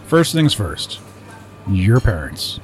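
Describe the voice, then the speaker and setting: An actor playing a blunt, protective middle-aged uncle who talks steadily. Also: male voice, on the low side, sing-song, slow.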